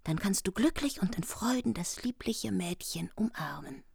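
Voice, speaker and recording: A woman playing a scheming noble queen in a radio play, coaxing in measured, formal phrases. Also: mid-range voice, expressive, creaky, darkish tone, breathy edge, no echo, studio quality, exaggerated voice. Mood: coaxing, promising. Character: scheming noble queen bribing a knight with promises.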